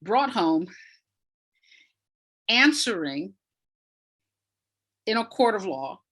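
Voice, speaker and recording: A woman's voice: mid-range voice, very animated, extremely slow, fairly bright tone. A woman speaking conversationally as an interview guest, an educator who repeats phrases for emphasis.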